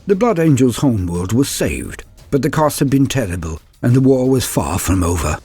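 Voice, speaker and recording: A man giving a grave, theatrical reading of scripted war lore as a narrator, in measured phrases. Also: mid-range voice, sing-song, warm tone, breathy edge, no room sound. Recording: voice over background music. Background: music.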